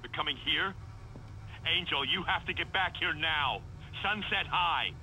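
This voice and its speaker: An actor playing an earnest, protective leader of survivors in a video game, serious and commanding. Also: male voice, a little high, a little slow, sharp tone, slight background noise, poor audio. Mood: alarmed.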